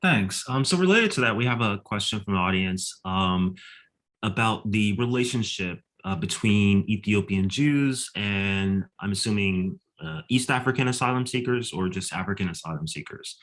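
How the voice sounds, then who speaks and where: Male webinar moderator, deep voice, easygoing and loose, calm host delivery. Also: normal speed. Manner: relaxed, filler-laden phrasing. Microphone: video call.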